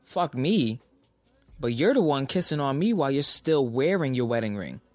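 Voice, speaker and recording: A man's voice: medium pitch, big pitch swings, normal speed, darkish tone, dry recording, lightly acted. A man acting a blunt, candid lover in a naturalistic audio drama about a troubled romance. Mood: frustrated, blunt. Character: blunt, candid lover frustrated with married girlfriend. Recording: music behind the voice.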